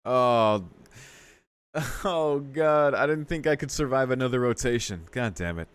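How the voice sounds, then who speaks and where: A man's voice: mid-range voice, expressive, unhurried, smooth. Exclamatory man commentating on a game. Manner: exclamatory, mock-exasperated reactions to the game. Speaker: gaming video host. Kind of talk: casual gameplay commentary.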